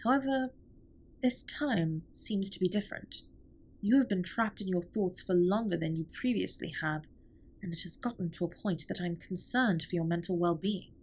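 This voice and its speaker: Husky, formal alien captain, acted by a woman. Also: on the low side, darkish tone. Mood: concerned, hesitant. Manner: formal, stiffly precise, measured wording. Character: formal, earnest alien spaceship captain, gently concerned. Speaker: voice actor in an audio roleplay. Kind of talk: scripted intimate comfort audio roleplay.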